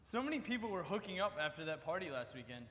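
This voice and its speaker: A man's voice: sits high, sing-song, quick pace, bright, forceful tone, a little hiss or hum, exaggerated voice. A young man playing an anxious college student confessing his worries in a staged monologue. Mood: worried, regretful. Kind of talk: staged readers theater monologue. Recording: echoey hall recording.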